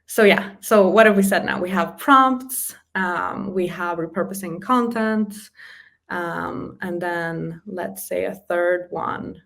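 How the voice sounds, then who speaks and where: A woman with a low, slightly creaky voice talks through practical advice in a casual interview. She explains step by step and laughs lightly between her points. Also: slow, a bit bright. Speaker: guest, communication specialist. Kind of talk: casual interview chat giving practical tips.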